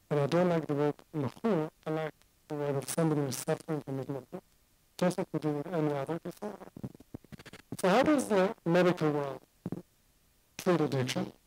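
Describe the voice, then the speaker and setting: A man from Singapore with a blended international accent speaks in a fairly high voice. He lectures to an audience from a seat, talking conversationally and qualifying his points. The sound is dry and close. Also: darkish tone, no room sound, low-quality recording. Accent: mixed international accent. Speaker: addiction expert speaker.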